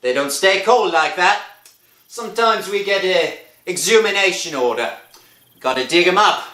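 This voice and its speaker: Man acting a suspicious cemetery caretaker, swooping pitch. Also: expressive, a little slow, sharp tone, echoey room, recorded from a distance, full character voice. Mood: wary, matter-of-fact. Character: suspicious, scolding old-fashioned cemetery caretaker. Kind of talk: old-time horror radio drama acting.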